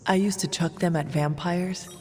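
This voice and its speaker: An actress playing a stoic resurrected warrior woman in a video game, speaking formally and with measured care. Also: on the low side, fairly even intonation, a little mellow, a little hiss or hum, lightly acted. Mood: calm, reserved. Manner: formal, measured, avoids contractions. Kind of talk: video game cutscene acting.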